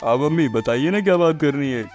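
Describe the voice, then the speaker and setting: A man's voice: fairly high pitch, lively intonation, fairly quick, a little mellow, muffled recording, close to an everyday voice. A man playing a practical, mild-mannered husband and son, explaining things matter-of-factly in a scripted moral story cartoon. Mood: agreeable. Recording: music behind the voice.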